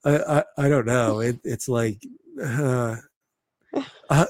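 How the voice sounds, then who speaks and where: Man, thirties, casual podcast chat, hesitant, stammering repeats, mid-pitched, close dry recording. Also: steady tone, takes it slowly, a little mellow, no room sound. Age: 30s. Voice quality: deep voice. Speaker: podcast host.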